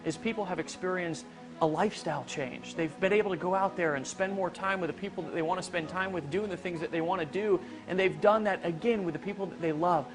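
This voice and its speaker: A man presenting a business opportunity, making a blunt, hard-selling pitch straight to viewers. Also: fast. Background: background music.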